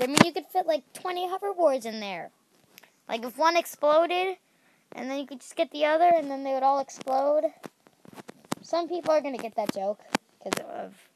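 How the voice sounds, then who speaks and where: A woman's voice: high-pitched, gravelly, no room sound. A young woman hosting a game channel, chatting casually as she plays and squealing at danger, with a slightly stuffy nose. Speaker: gaming channel host.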